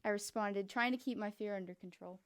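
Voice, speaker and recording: A woman reading a first-person fan fiction story aloud, in a quick, lively narration. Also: medium pitch.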